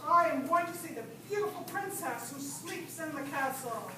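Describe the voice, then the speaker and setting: An earnest young prince voiced by a woman declaims boldly in a very high voice. It is puppet-show acting, distant from the mic with loud noise around it. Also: animated, normal speed. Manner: bold, declamatory. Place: library event room, live show. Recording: distant, echoey, very noisy room.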